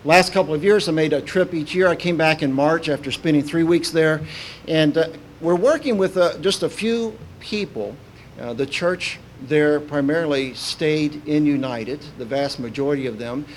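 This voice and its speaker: A man in his forties, raised in Kentucky, opening a sermon as a visiting minister in a halting, informal way full of "uh" and restarts. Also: a little high, slight background noise, rough recording. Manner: halting, many "uh" fillers and restarts.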